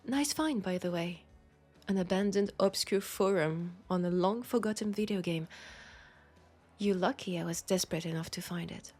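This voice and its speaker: A woman with a French accent voicing a centuries-old, wry and world-weary female vampire in an intimate audio roleplay, softly and breathily. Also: lowish voice, moderate pace, faint music behind. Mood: teasing, amused. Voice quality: breathy, soft, slipping into whispers.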